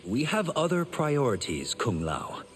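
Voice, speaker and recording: A man's voice: a little high, big pitch swings, unhurried, slight background noise. An actor playing an earnest, dutiful young male champion fighter in video game dialogue. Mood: earnest, steady. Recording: long hall reverb.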